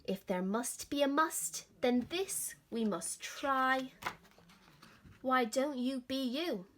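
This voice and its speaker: A woman reading aloud, voicing a gentle, thoughtful male lion in a soft, earnest way. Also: sits high, slow pace, a little hiss or hum.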